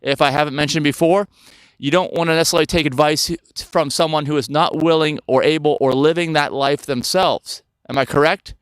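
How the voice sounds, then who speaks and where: A man's voice: fairly high pitch, steady tone, fairly quick, smooth, no echo. A man in his fifties talking casually to viewers outdoors in the woods, rambling and often turning to them with questions. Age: 50s. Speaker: health channel host. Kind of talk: casual talk to camera.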